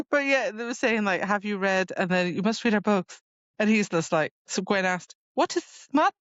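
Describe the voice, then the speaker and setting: A woman's voice: mid-range voice, lively intonation, moderate pace, no echo, highs cut off. A woman co-hosting a podcast, chatting casually about a book in a giggly, conversational retelling style. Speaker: podcast co-host. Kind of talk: casual book discussion chat.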